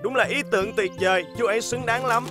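A young delivery helper in a children's cartoon, polite and eager. His voice is high for a man, with clear background music. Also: fast. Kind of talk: animated children's dub acting.

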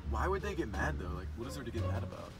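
A man's voice: mid-range voice, big pitch swings, very fast, over loud music. A young man in casual, slangy banter with friends, often exclaiming, recorded on a handheld camera inside a car. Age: young adult. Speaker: prank vlogger. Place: inside a car at drive-thrus at night.

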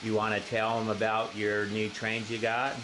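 Low-voiced man prompting his father. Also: moderate pace. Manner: relaxed, prompting questions. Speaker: vlogger. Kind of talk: casual chat. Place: small home train room. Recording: constant background noise.

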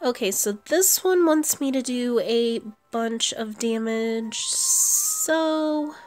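A woman's voice: on the high side, animated, takes it slowly, darkish tone. A woman giving casual commentary on her own gameplay, thinking aloud as she plays. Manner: thinks aloud while playing. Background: video game music.

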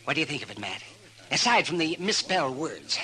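A man's voice: a little high, very animated, a little fast, pushed and bright. A man playing a gleeful, teasing American frontier doctor with folksy manner in a 1950s radio western. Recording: faintly noisy vintage radio recording.

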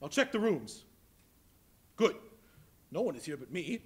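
A man's voice: a little high, very animated, a little slow. An actor playing a miserly, suspicious, cantankerous old businessman in a theatrical live stage performance. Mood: wary, muttering. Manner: theatrical, exclamatory. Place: live on a college hall stage before an audience.

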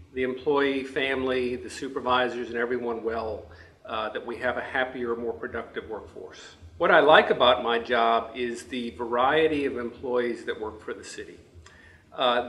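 A man in his fifties, a counselor and manager, giving a prepared interview in measured, deliberate phrases. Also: mid-range voice, fairly bright tone. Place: office indoors. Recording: echoey office room.

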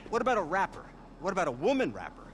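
A man's voice: wildly swooping pitch, a little hiss or hum. An actor playing a brash, aging male TV producer who talks in scattered, blustering bursts. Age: older. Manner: rapid-fire, scattered pitches, blustering.

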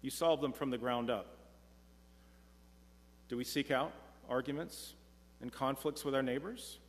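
A middle-aged man preaching a sermon from a pulpit, earnest and measured, slowing down when reading scripture. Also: mid-range voice. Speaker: pastor. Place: church sanctuary, speaking from a pulpit. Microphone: pulpit mic, far camera. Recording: long church reverb.

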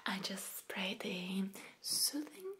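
A young woman whispering softly and soothingly in a close-miked ASMR roleplay as a massage therapist, breathy and gentle. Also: mid-range voice, sing-song, slow. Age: young adult. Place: small dim room indoors. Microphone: close microphone off camera.

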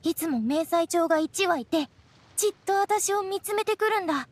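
A woman's voice: very high-pitched, lively intonation, moderate pace, no echo. A woman voicing an enthusiastic, modest teenage girl ranger in a Japanese video game, with boyish, wide-eyed phrasing. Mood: excited, admiring. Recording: faint music behind the voice.

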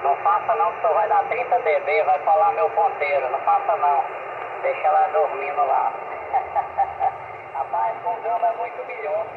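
A Brazilian man chats casually as a radio operator, his voice heavily gravelly. He repeats himself and checks in with short tags. The sound is echoey, with loud noise over it. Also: high-pitched, moderate pace. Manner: repeats himself, frequent tag questions. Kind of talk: casual two-way radio chat. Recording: muffled, noisy radio setup, room echo.

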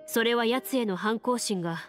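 A composed young swordswoman from an anime game, acted by a woman, speaks with firm authority in a slightly raised pitch. She is blunt and plain-spoken, with faint music behind her. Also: normal speed, close to an everyday voice. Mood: stern, urging. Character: stern, composed young woman, a principled champion duelist. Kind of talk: Japanese anime game voice acting.

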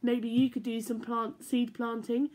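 A young woman reading picture books aloud to children from her living room, playful and expressive. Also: on the high side, a little slow, rough recording. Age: young adult. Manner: animated, playful read-aloud with sound effects. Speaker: church children's storyteller. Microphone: laptop or phone camera at arm's length.

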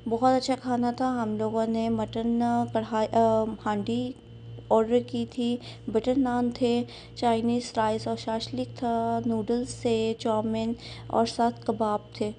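Young woman, casual vlog narrator, even and flat delivery, faint hum. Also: a little high. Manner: chatty, unhurried, with frequent "uh" fillers. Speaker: vlogger.

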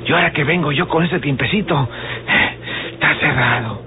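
An actor playing a folksy, grumbling Mexican drunkard in an old radio drama, muttering and exclaiming colloquially. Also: male voice, on the high side, moderate pace, crowd noise, natural voice. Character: grumbling folksy drunkard man out hunting for liquor. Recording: tinny, very noisy old radio recording.

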